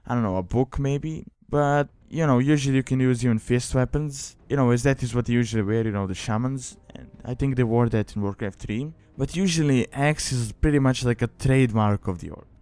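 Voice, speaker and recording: A man's voice: mid-range voice, a little fast, few pauses, a little mellow, clean tone, dry recording. A young man narrating a gaming guide in an informal, rambling, conversational way. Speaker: video guide host. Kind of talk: casual explanatory gaming guide voice-over. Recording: music behind the voice. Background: background music.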